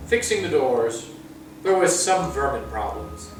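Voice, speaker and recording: A man voicing a vague, secretive middle-aged father figure in a storybook, halting and confiding, with gloomy, awkward hospitality. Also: medium pitch, very animated. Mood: matter-of-fact. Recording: echoey room, far from mic, music.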